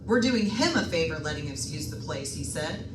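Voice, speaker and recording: A woman's voice: low-pitched, expressive, moderate pace. A woman playing a folksy, colloquial, eager real estate agent in an old-time radio suspense drama. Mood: breezy, persuasive. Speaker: radio play performer. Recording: roomy, distant microphone, clear background noise.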